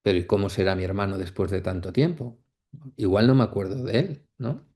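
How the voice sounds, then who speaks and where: A man giving an academic lecture off the cuff, reflective and halting, often checking in with short question tags. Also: fairly low pitch, dark tone. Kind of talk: masterclass lecture, speaking off the cuff.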